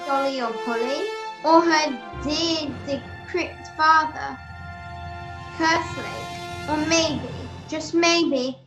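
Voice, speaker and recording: A woman's voice: high-pitched, reverberant, poor audio. A woman playing a suspenseful, mock-grave narrator of an old radio melodrama.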